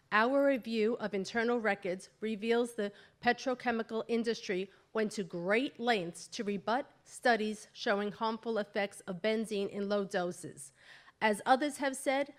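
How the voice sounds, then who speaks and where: Hoarse woman reading a statement at a hearing. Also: on the high side. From New York. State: losing her voice. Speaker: resident speaking at public comment. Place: town hall meeting room.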